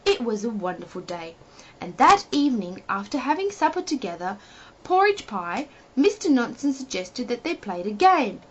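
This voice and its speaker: A woman reading a children's story expressively, addressing the young listener with playful questions in a husky voice. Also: on the high side, very animated, normal speed, a little hiss or hum. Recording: dull lo-fi home recording.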